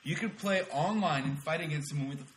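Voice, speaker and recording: A man's voice: a little high, fast, a little hiss or hum, poor audio. A man co-hosting a podcast, chatting eagerly about video games. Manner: excitable, enthusiastic, lively. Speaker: podcast co-host.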